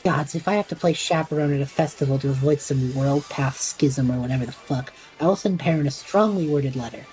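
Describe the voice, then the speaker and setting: Casting: woman as wisecracking extrovert male adventurer, lowish mellow voice, lively delivery, audio drama. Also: brisk, few pauses, soft background music, lightly acted, rough recording. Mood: exasperated. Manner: flippant, wry, theatrical. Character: impulsive, chatty extrovert young male traveller, showman.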